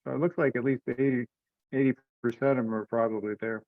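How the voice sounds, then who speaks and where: A man's voice: mid-range voice, fairly even intonation, fast talker, no room sound, narrow, tinny audio. A man leading an informal meeting discussion over conference call audio.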